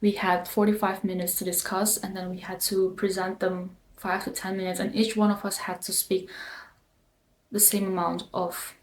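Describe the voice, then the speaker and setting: A young woman, not a native English speaker, talking casually as a design student vlogger, relaxed and full of fillers. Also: mid-range voice, moderate pace. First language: not English, not German. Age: young adult. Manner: relaxed, filler-heavy, with frequent likes and ums. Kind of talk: casual explanatory vlog. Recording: echoey room, faint background noise.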